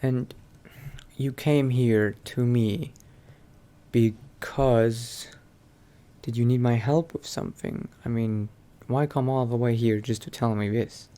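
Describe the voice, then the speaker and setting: A man's voice: mid-range voice, fairly even intonation, slow pace, dark tone. A man doing casual, improvised roleplay commentary as he plays a video game, laid-back and hesitant. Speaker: gamer roleplaying as his character.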